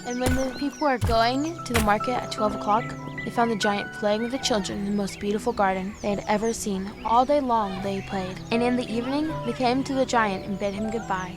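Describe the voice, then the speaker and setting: An actress playing a young woman who reads a fairy tale aloud in a gentle storybook manner. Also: on the high side, a little fast, few pauses, close to an everyday voice. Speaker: storyteller within the drama. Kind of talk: audio drama. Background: music.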